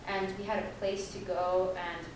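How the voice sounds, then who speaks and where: A young woman on a discussion panel talks loosely and casually, leaning on "like" and "um" as she goes. Her voice sits at an ordinary middle pitch. It is picked up from well back in a big, echoing room with a steady hum of noise behind it. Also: normal speed. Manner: loose and chatty, full of "like" and "um". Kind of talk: personal storytelling on a panel. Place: large echoey room. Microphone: distant room mic. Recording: echoey hall recorded from far off.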